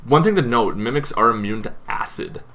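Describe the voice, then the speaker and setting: A man's voice: mid-range voice, animated, a little fast, bright, forceful tone. A man explaining tabletop game monsters in a casual, semi-improvised way, correcting himself and adding informal asides as he goes. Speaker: host of a tabletop gaming channel.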